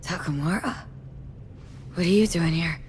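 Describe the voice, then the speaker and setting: An actress playing a defiant, exhausted young mercenary in a game, with a blunt, streetwise, clipped delivery. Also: female voice, fairly low pitch, a little slow, background noise, lightly acted. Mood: weary.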